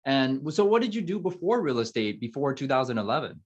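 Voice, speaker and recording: A man hosting an interview, effusive and quick to react with "wow" and praise. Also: mid-range voice, very animated, slightly rough, muffled recording. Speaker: host.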